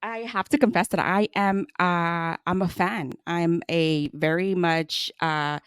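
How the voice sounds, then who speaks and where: Woman, middle-aged, podcast host, casual chat, lowish voice, dry close sound. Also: fairly even intonation, slow, fairly bright tone, clear, resonant tone, no room sound. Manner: chatty, laughs often, stumbles and restarts.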